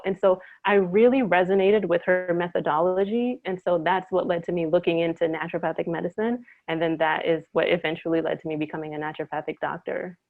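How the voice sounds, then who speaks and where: Woman on a tinny call line, low-voiced. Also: no room sound. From Trinidad. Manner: measured, earnest, many ums. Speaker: guest, naturopathic doctor. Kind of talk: interview answers, personal story. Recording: tinny phone-like line, dry.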